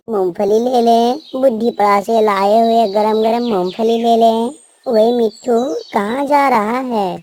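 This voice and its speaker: Woman as a high-voiced, pushy crow hawker. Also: dark tone, natural voice. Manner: repetitive street-vendor calls. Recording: faint background noise with birdsong.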